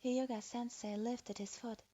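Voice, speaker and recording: Woman narrating a dark story, dry recording. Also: on the high side, unhurried, no echo, dull audio. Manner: steady, even reading of a dark story.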